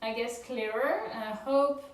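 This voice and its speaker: A young woman from France co-hosting a couple's vlog, explaining her results in a calm, measured way. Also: a little high, recorded from a distance. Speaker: vlog co-host. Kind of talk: casual chat. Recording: echoey room, off-mic.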